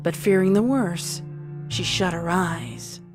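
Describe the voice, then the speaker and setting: A woman reads scripted fiction as the narrator. Her voice is on the low side, and background music is clearly audible beneath the narration. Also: slow.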